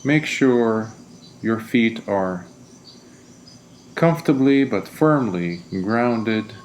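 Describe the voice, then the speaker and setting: A man leading a guided meditation as a teacher, speaking in a gentle, deliberate, soothing manner. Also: lowish voice, animated, slight background noise.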